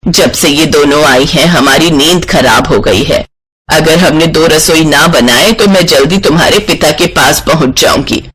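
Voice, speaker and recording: A man voicing an elderly village mother-in-law who is weary, scheming and given to complaint, in an animated moral tale. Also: a little high, a little slow, husky, voice pushed down. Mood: lamenting. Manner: melodramatic, lamenting. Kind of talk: Hindi animated moral-story acting.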